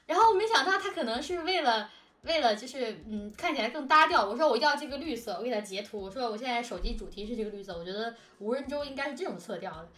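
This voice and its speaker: Woman, high voice, animated casual chat, giggly, very echoey, slightly distant. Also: fast talker. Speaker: audio drama production team member, co-host. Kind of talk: casual behind-the-scenes chat.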